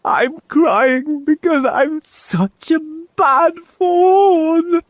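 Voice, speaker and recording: A man voicing a gentle, sorrowful male faun who talks gloomily and breaks into sobbing pleas. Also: expressive, a little slow, no room sound. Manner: tearful, sobbing high pleas.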